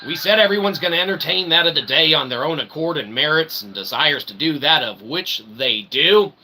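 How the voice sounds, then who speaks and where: Theatrical high-pitched man over clear noise. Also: animated, brisk, pushed and bright. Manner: theatrical showman delivery with repeated catchphrases. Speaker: comedy show host. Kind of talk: rambling comic monologue to an audience. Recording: clearly noisy recording.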